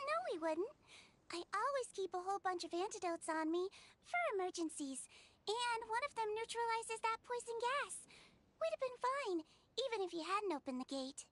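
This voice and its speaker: A woman voicing a sweet, girlish young head nurse in a video game, gentle and assured. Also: very high voice, animated, moderate pace. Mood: amused, confident.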